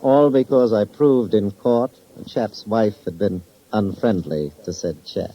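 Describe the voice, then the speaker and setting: A man's voice: fairly low pitch, measured pace, close to an everyday voice. A man acting as a smug professional in a 1950s radio crime drama, with ornate, pompous, mocking phrasing. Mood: smug, sardonic. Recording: dull, hissy vintage radio recording.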